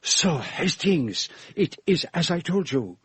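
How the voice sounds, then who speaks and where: An actor playing a shrewd, self-assured Belgian detective with a French-speaking accent and formal, precise, theatrical phrasing in a radio drama. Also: male voice, on the high side, big pitch swings, slow, breathy edge, heavily acted. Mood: grave, deliberate.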